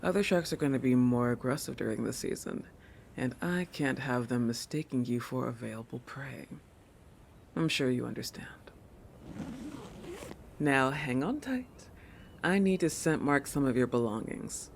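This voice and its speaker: A woman's voice: very deep voice, expressive, normal speed, creaky, a little hiss or hum, full character voice. A woman voicing a proud, possessive young shark girl in a roleplay monologue, with a haughty, teasing manner that keeps correcting itself. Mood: coy, possessive. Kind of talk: roleplay audio monologue addressed to the listener.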